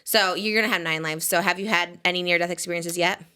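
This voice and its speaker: A woman's voice: fairly low pitch, steady tone, fast, pushed and bright, clear, resonant tone. A woman in her thirties hosting a podcast, chatting in a bubbly, giggly way with many fillers. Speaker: podcast host. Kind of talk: casual chat.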